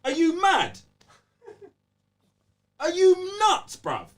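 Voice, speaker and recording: Slangy London bloke, a bit off mic. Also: male voice, extremely high pitch, wildly swooping pitch, drawn-out words, recorded from a distance. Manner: slangy, blunt, exclamatory. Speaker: podcast co-host. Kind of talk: casual gaming podcast banter.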